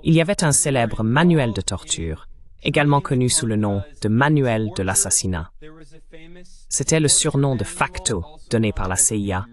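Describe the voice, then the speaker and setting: Male political commentator, explanatory and measured. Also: medium pitch, animated. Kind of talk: dubbed interview commentary, explanatory.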